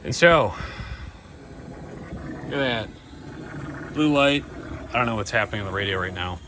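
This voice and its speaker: A man's voice: fairly low pitch, animated, normal speed. A young man talking casually and unscripted to his vlog in a laid-back, wry way, recorded in a van. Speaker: vlogger documenting DIY van repairs. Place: mostly inside a van, often while driving. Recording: loud vehicle and road noise.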